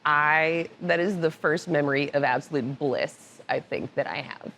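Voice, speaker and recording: A woman with a somewhat low voice tells stories in a relaxed interview, laughing easily between lines. Faint room ambience sits behind her. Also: moderate pace, a little hiss or hum. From born in Italy, raised moving around. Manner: laughs often, animated anecdotes. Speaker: podcast guest, motorcycle finance director. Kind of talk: casual storytelling interview. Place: cigar club lounge.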